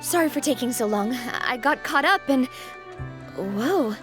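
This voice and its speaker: A woman's voice: high-pitched, expressive, a little slow, music in the background. An actress playing a fiery, fiercely loyal young woman boss in a video game, with a dramatic, sassy, confident manner. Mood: indignant.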